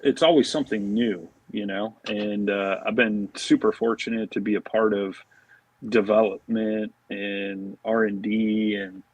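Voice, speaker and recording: A man's voice: on the low side, fairly even intonation, a little slow, husky, dry recording, rough recording. A man chatting casually as a podcast guest, easygoing and informal. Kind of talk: casual chat.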